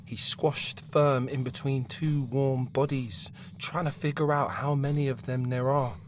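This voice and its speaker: A man narrating an audio drama intensely, sometimes dropping to a hushed whisper. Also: mid-range voice, flat delivery, a little slow, slight background noise.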